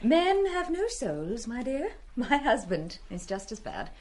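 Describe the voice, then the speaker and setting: An actress playing a disdainful middle-aged schoolmaster's wife in a radio play, with a brisk, sardonic manner. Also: female voice, fairly high pitch, wildly swooping pitch, a little mellow, full character voice.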